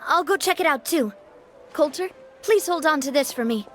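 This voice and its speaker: A high-pitched, self-assured young woman boss from a video game. She speaks with poise and assertiveness. Music plays behind her. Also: expressive, moderate pace, fairly bright tone. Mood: warm, reflective. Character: confident, cheerful young woman boss of a syndicate. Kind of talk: video game character acting.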